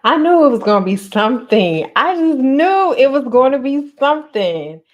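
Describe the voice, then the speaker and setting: A woman's voice: high voice, normal speed, muffled recording. A woman, chatting casually and animatedly as a podcast host on a livestream. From North Carolina, US. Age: 30s. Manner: chatty, animated, addresses listeners as y'all.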